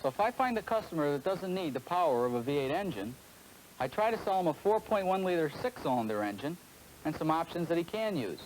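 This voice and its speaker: A man's voice: on the high side, very animated, quick pace, a little hiss or hum, low-quality recording. A man speaking as a salesman, giving an earnest, explanatory scripted testimonial on a 1970s film soundtrack.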